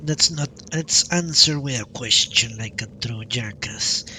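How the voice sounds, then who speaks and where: A man giving casual live commentary as he plays a game, musing aloud in an unhurried way. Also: medium pitch, pushed and bright, a little hiss or hum. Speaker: game streamer. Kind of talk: casual live playthrough commentary, reads dialogue choices. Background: game music.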